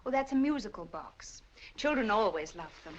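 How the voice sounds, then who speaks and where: A woman's voice: medium pitch, animated, moderate pace, natural voice. An actress playing a polite female shop clerk in a 1940s film, with genteel shopkeeper manners. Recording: dull old film soundtrack with clear hiss.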